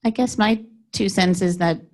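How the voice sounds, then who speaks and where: A woman's voice: mid-range voice, moderate pace. A woman pediatrician in her forties, sharing her experience in a reflective, conversational way at a workshop.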